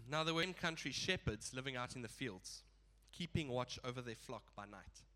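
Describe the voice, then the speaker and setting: Male preacher with a head cold, nasal and bright, chatty with laughing asides. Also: mid-range voice, expressive, normal speed. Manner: casual, chuckling asides. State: stuffy blocked nose. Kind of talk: sermon.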